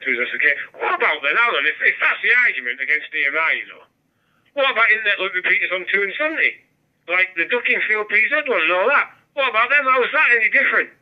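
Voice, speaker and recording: Northern english guy rambling n joking on two way radio, voice bit high, sounds muffled. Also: animated, fast. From Barrow-in-Furness, northern England. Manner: rambling, jokey, bantering. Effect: digital two-way radio transmission. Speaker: amateur radio operator. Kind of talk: casual chat over ham radio.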